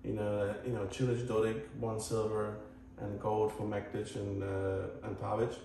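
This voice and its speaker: A man's voice: lowish voice, completely flat delivery, normal speed, creaky, mellow. Echoey, distant Croatian-accented man. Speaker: host of a tennis channel. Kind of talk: casual talk to viewers. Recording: echoey, distant mic, faint noise.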